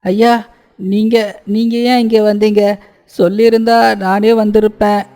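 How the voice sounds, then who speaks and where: Poor honest labourer for a cartoon fable, male actor: soft dark tone, flat melody, deferential, pleading. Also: high-pitched, measured pace. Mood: sorrowful, humble. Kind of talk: Tamil cartoon moral story acting.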